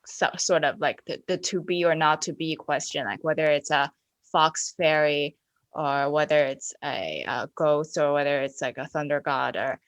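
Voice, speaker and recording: A woman's voice: sits low, moderate pace, dry recording. A woman on a podcast panel reflecting casually and hesitantly as she searches for words.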